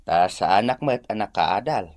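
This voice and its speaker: An actor playing a humble, grateful old farmer uncle in an Ilocano radio drama, sighing and gentle, with an Ilocano accent. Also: male voice, on the low side, lively intonation, normal speed, no echo, lightly acted. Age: older.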